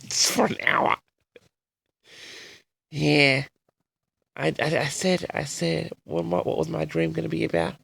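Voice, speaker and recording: A man with a tired, raspy voice, chatting casually and loosely to his audience with many restarts. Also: a little high, steady tone, a little slow, pauses often, fairly bright tone, no echo. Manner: rambling, repeats and restarts sentences. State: tired, raspy from a late night. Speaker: audio log host. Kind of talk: casual rambling chat to audience.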